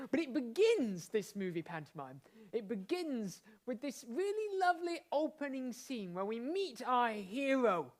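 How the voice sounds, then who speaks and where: A woman hosting and narrating an improvised pantomime with playful, excited enthusiasm. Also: a little high. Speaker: host directing an improvised pantomime.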